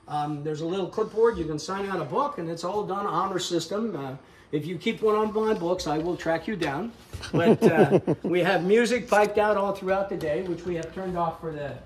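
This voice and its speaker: An older man with a fairly high voice talks loosely and cheerfully as he shows off his woodworking shop. He explains things step by step and slips in small jokes. Faint shop hum sits under his voice in a big indoor space. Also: rough recording. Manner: chatty, explanatory, joking. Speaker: woodworking school owner. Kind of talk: casual shop tour talk. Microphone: camera held by interviewer.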